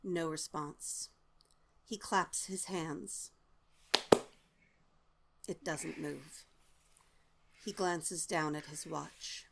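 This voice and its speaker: A woman's voice: low-pitched, steady tone, very slow, vocal fry, long pauses. A woman narrating stage directions from a script at a table read.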